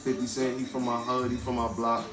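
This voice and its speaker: A man's voice: medium pitch, completely flat delivery, a little fast, roomy sound, recorded from a distance, over music. A man from Queens with a New York accent, talking loosely in street slang as a rapper interview guest. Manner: laid-back street slang, loose and rambling. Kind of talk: casual interview.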